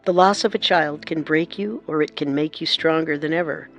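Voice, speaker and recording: A narrator delivering measured, deliberate scripted documentary narration. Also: medium pitch, fairly bright tone, soft background music.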